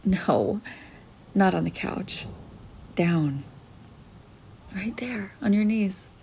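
A dominant, teasing young woman, voiced by a woman, murmurs in a breathy whisper for an ASMR roleplay. Her tone is dark and mellow, with a gravelly fry at the edges. She takes her time, issuing each command slowly. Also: mid-range voice, animated, a little hiss or hum, exaggerated voice.

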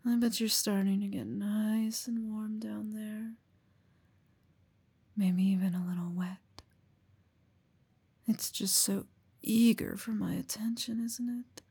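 A woman whispering slowly and teasingly into a close mic, in an affectionate, intimate roleplay. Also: medium pitch, warm tone, husky. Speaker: audio roleplay performer.

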